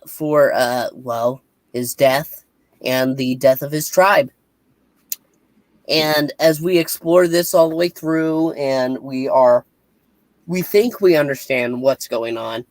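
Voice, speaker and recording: A man hosting a casual review chat, rambling and halting as he corrects himself. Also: on the high side, no echo. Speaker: host.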